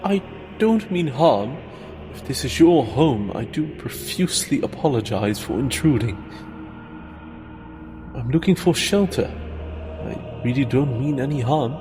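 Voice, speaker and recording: A man acts out a humble priest seeking shelter in a fantasy game. He is hesitant, courteous and formal, speaking at a middling pitch over clear background music. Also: expressive, a little slow, a little mellow, big-room sound, natural voice. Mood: apologetic.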